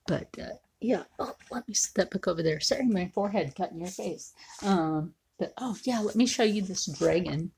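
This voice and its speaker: A woman in her forties chatting casually to viewers while she draws, often sinking into a low whisper. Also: creaky, a little mellow, a little hiss or hum. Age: 40s. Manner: slow, frequently drops into a whisper. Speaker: video creator. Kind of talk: casual livestream chat while drawing.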